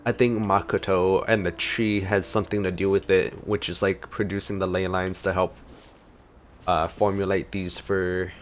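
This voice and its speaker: Low-voiced man reacting to a video game. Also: little pitch movement, moderate pace, a little hiss or hum. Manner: musing, thinking aloud. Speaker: gameplay streamer. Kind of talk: casual gameplay reaction commentary.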